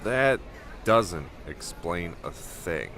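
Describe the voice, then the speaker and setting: A man's voice: low-pitched, lively intonation, drawn-out words, a bit bright, faint music behind, exaggerated voice. A man playing a sarcastic, reluctant teenage adventurer in an amateur script read, exasperated and argumentative. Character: grumbling, sarcastic, reluctant male teen adventurer.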